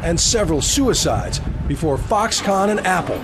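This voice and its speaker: Male TV reporter narrating, slightly high, noisy background. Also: very animated, normal speed. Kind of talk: scripted news narration.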